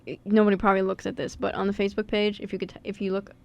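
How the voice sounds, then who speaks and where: A woman's voice: medium pitch, monotone, rapid-fire, creaky, darkish tone. A young woman hosting a radio show in a casual chat, with an informal, youthful, rambling manner. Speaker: radio drama show co-founder and host.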